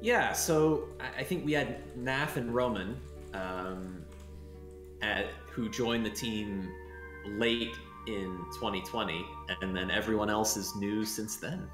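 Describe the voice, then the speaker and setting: A man's voice: lowish voice, slow pace. A man talking casually and off the cuff in an unscripted panel chat. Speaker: software team lead. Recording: roomy echo, music underneath. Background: background music.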